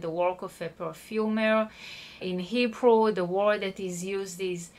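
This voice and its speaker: A woman's voice: mid-range voice, a bit bright. A woman teaching an online Bible study, explaining patiently and checking in with her listeners. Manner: explanatory, spells out words, checks in with "okay?".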